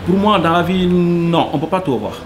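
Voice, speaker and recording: A man's voice: sits high, a little fast, a crowd in the background. A man from Senegal, answering interview questions earnestly and hesitantly, full of fillers. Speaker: cook, interviewee.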